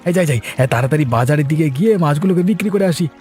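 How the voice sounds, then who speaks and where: A man's voice: on the high side, expressive, rapid-fire, a little mellow, faint music behind, close to an everyday voice. A man voicing a good-natured, hard-working poor village fisherman in a cartoon moral story, with a folksy way of thinking aloud. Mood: eager. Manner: talks to himself aloud, folksy. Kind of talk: animated moral story voice acting.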